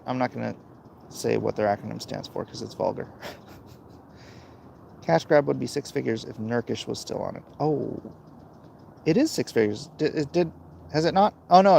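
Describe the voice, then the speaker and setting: A man with a creaky, gravelly voice and a middling pitch talks casually to his livestream chat. He is blunt and dismissive, muttering and humming between thoughts, over faint background noise. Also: a little mellow. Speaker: livestream host reading and answering chat comments.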